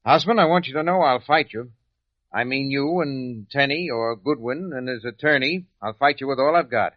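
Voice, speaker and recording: Male actor as formal, deliberate attorney spoiling for a fight, medium pitch, muffled 1940s-style radio sound. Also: dry recording, natural voice. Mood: stern, resolute. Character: proud, combative male prosecutor, formal and principled. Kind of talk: old-time radio drama acting. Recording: dry, muffled vintage radio recording.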